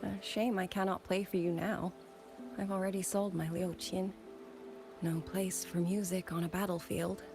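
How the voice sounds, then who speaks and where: Soft, low-voiced wistful woman warrior-musician played by an actress. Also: flat delivery, moderate pace, music in the background, lightly acted. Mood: wistful, reflective. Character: gentle, wistful widowed musician turned soldier. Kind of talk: scripted game dialogue.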